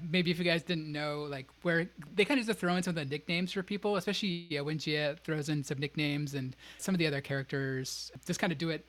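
A man talks through ideas on a casual podcast, his voice sitting a little higher than most. He explains at length, leaning on plenty of fillers as he goes. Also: a bit bright. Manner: explaining, chatty with many fillers. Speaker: podcast co-host. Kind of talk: casual book discussion.

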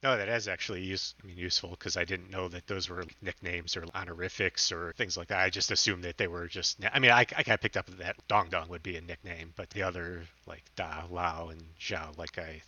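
A man's voice: low voice, fairly even intonation, fairly quick, hardly any pauses, bright, forceful tone, highs cut off. A man co-hosting a casual podcast, speaking in a thoughtful, hesitant way. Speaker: podcast co-host. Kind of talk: casual book discussion.

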